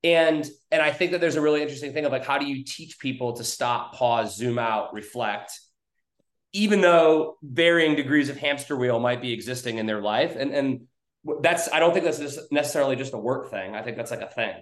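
A man hosting a podcast, talking casually and bluntly as he thinks aloud, with some swearing. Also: medium pitch. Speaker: podcast host. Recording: echoey room.

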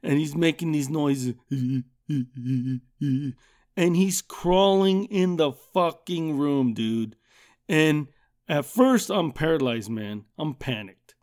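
A middle-aged man hosts his podcast and tells a childhood story in a loud, swearing, lively voice. His voice sits a bit high for a man. He laughs often and keeps the telling casual. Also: slow pace. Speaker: podcast host.

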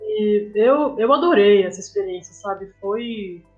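A woman's voice: mid-range voice, a little slow, darkish tone, muffled recording, soft background music. A woman with a Brazilian accent speaking casually as a guest in a podcast interview, often checking for agreement with tag questions. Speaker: guest, comic artist and game writer.

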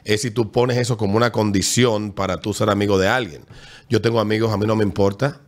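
A man, co-hosting a podcast in casual political chat, measured and argumentative. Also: fairly low pitch, steady tone. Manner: measured, argumentative, recounts conversations. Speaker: podcast co-host.

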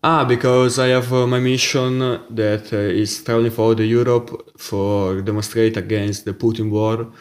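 A young man, raised in Italy and born in Russia, speaking as a guest in an interview, halting and searching for words. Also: medium pitch, level tone. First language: Italian. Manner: halting, searching for words, many repeated yeses. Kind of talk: casual interview on a livestream.

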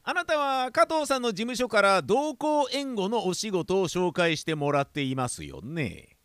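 A man's voice: high voice, big pitch swings, normal speed, a bit bright, clean tone, dry recording, studio quality. A man playing a lecherous, over-familiar police detective in an audio drama, with a smarmy, theatrical way of talking. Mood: polite.

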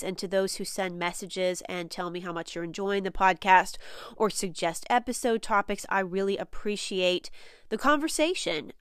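A woman's voice: mid-range voice, clear, resonant tone, no room sound, studio quality. A woman hosting a solo spiritual podcast, sounding nasal from a head cold and speaking in an earnest, reflective way with frequent fillers. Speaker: podcast host and spiritual coach.

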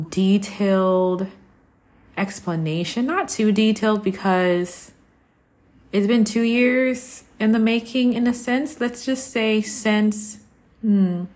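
Slow-paced, low-voiced woman podcaster. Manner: unhurried, reflective, pausing between short phrases. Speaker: podcast host. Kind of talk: conversational personal podcast monologue.

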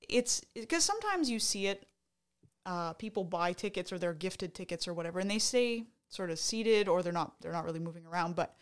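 A woman from Canada co-hosting a podcast, talking excitedly in casual chat and often interrupting herself. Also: fairly low pitch, brisk. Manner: excited, chatty, self-interrupting. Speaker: podcast co-host.

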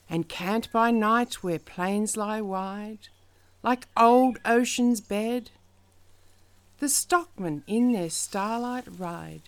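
A woman reciting poetry in a measured, dramatic style, with deliberate phrasing that falls away at the ends of lines. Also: medium pitch. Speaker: poetry reader.

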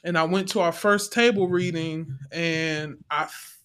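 A man speaking in a heartfelt, conversational way as a panel guest, earnest and reflective, often pausing to restate his phrases. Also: a little high, steady tone, a bit bright, clean tone. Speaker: stage play actor, panel guest.

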